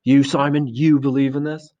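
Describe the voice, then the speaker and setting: A man's voice: lowish voice, lively intonation, fairly quick, muffled recording. A man voicing a boy leader in a storybook, insistent and exasperated as he tries to keep order while unsure of himself. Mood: amazed. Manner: insistent, exasperated, plain-spoken.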